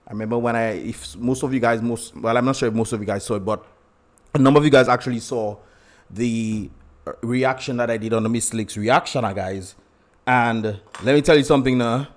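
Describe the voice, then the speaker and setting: A man's voice: medium pitch, fairly quick. Chatty man with a Dominican accent. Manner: chatty, greets viewers by name, laughs often. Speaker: livestream host. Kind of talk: casual livestream chat.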